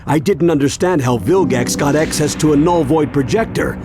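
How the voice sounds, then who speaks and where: A man's voice: fairly high pitch, normal speed, music in the background, natural voice. An actor playing a seasoned older grandfather and monster fighter in a video game cartoon, earnest and authoritative. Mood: grave explaining.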